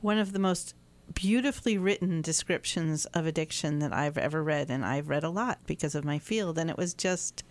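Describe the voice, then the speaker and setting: A woman's voice: deep voice, normal speed. A woman co-hosting a radio show, speaking earnestly and stumbling over her words. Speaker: radio co-host.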